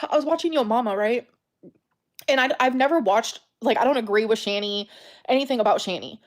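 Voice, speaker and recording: A woman speaking in a personal confessional vlog, earnest, halting and self-pitying. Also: a little high. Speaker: vlogger.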